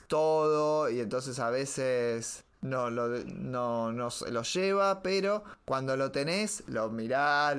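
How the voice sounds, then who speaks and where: A man's voice: mid-range voice, fairly bright tone, clean tone. A man with an Argentine accent hosting a conversational news show. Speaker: podcast host. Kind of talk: conversational comics news talk.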